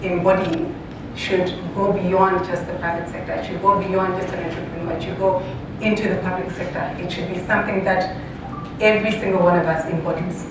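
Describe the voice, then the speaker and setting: A woman from Zambia and Botswana giving a public talk, speaking haltingly with repeated words and frequent pauses. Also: level tone. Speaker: university faculty member, guest speaker. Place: large echoey room, live talk. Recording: echoey hall, distant mic, noisy rumble.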